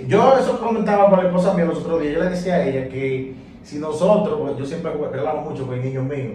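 A man with a Dominican accent on a sports talk panel, trading quick, joking banter. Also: a little high, warm tone, a little hiss or hum. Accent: Dominican Spanish. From Dominican Republic. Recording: echoey room picked up from a distance.

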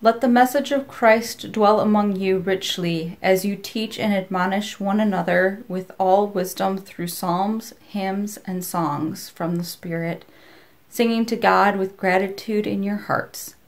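A woman's voice: medium pitch, fairly even intonation, a little slow. A middle-aged woman speaking plainly to her congregation as a scripture reader, recorded at home at arm's length. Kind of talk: casual greeting and scripture reading. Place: indoors, room at home by windows. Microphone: phone or laptop at arm's length.

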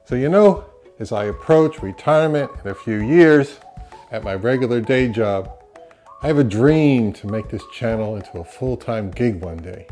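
A man's voice: mid-range voice, moderate pace, mellow, soft background music. A middle-aged man, around his fifties, giving a conversational product review as the host of an audio gear channel. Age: 50s to early 60s. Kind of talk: conversational, to camera.

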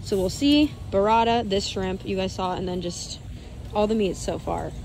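A woman's voice: medium pitch, moderate pace, vocal fry, a little mellow, slight background noise, rough recording. A woman in her twenties chatting casually on a handheld phone vlog, upbeat and full of "like" and "literally". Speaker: vlogger. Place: large warehouse store, indoors. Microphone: phone camera held at arm's length.

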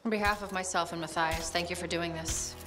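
An actress playing a shrewd, secretive, middle-aged official in a video game, speaking in a measured, self-assured, formal way. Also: female voice, fairly low pitch, fairly even intonation, music in the background, close to an everyday voice. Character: composed, shrewd, secretive female official offering contracts. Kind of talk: video game cutscene acting.

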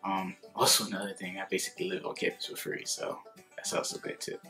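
A man's voice: low voice, very animated, fast talker, vocal fry, pushed and bright, faint music behind. A young man from the Bronx, a college resident assistant, giving matter-of-fact interview answers. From the Bronx, New York. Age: young adult. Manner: matter-of-fact, a few restarts. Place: indoors before a plain grey backdrop.

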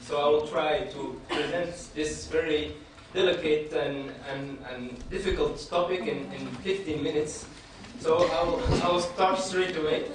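A man in his thirties, raised in Belgium with Dutch as his first language, giving an earnest, measured lecture from a panel table in a hall, often restarting his phrases. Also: fairly high pitch, steady tone, background noise. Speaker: panelist speaker. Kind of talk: public lecture. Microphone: table microphone.

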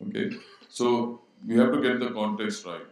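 A middle-aged man speaking on a panel into a handheld mic, measured and posing rhetorical questions to students. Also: lowish voice, darkish tone. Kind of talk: panel discussion. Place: large auditorium stage. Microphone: handheld microphone. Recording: very echoey hall.

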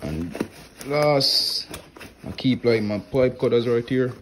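A man's voice: mid-range voice, slow, a little hiss or hum. Casual man touring his tool bag. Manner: relaxed, plain talk with many "like" and "right here". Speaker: HVAC technician showing his tools. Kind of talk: casual show-and-tell vlog. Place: indoors at home, wooden floor. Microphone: phone camera held close, filming his hands.

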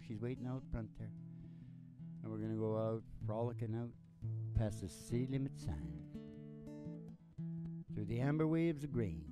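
An American man in his sixties hosting in a folksy, rambling, theatrical old-time showman way, close on a stage microphone. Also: mid-range voice. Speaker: host and singer of a country music show. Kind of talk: folksy rambling stage patter over guitar. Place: small curtained studio, seen indoors. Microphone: stand microphone, close. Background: acoustic guitar.

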